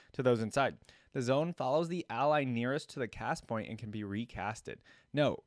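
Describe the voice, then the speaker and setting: A man's voice: medium pitch, no room sound, clean studio sound. A man hosting a reaction video, commenting casually, reading things out and talking directly to his viewers. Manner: conversational, addresses viewers directly. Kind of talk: casual commentary, reading on-screen text aloud.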